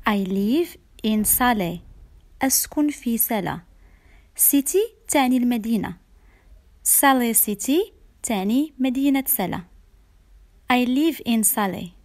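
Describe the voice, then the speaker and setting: A woman with a slightly high voice leads a beginner English lesson. She says each phrase slowly and clearly, often twice, then explains it briskly. The sound is dry and close, with no room around it. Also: animated, a little mellow, dry recording. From Morocco. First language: Arabic. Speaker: English teacher. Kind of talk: slow, repeated beginner language lesson.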